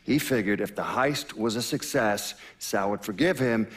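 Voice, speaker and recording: A man's voice: lowish voice, normal speed, fairly bright tone, natural voice. An actor playing a man who recounts a crime story in a matter-of-fact, explanatory way, as in a video game's documentary interview. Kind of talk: documentary-style interview narration in a video game.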